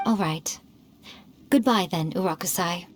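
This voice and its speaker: A woman's voice: lowish voice, measured pace, close to an everyday voice. An actress playing a sly, self-satisfied, centuries-old female fox spirit in a video game, with a teasing, poised manner. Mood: gentle.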